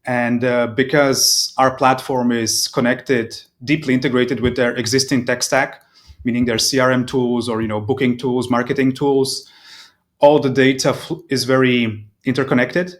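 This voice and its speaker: A man's voice: mid-range voice, flat delivery, moderate pace. Man in his thirties. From Serbia. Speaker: interview guest, proptech company representative. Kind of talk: conversational interview answers.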